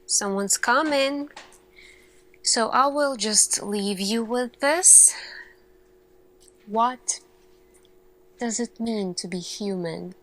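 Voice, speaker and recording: Slow, pensive woman scientist played by an actress. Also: a little high, fairly bright tone, poor audio. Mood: pensive, grave. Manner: slow, deliberate, pensive, with long pauses. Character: earnest, thoughtful woman lead scientist addressing her team. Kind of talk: soft-spoken ASMR roleplay monologue.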